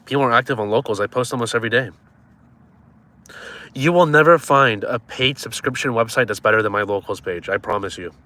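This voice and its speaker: A man's voice: medium pitch, brisk, bright, forceful tone. A man in his twenties chatting casually on a live stream, joking and teasing viewers as he reads their comments. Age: 20s.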